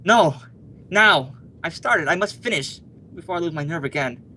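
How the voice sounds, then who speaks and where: Man as ardent suitor with swooping, bright delivery. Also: fairly high pitch, animated, normal speed, full character voice. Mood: desperate, ardent. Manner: declamatory, earnest. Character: ardent lovestruck young suitor, earnest and insistent. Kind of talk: old-time radio melodrama acting.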